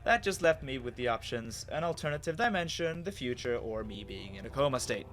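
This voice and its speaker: Expressive male story narrator with lively pitch. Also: brisk. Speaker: narrator of a dramatic reading. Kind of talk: dramatic first-person story narration.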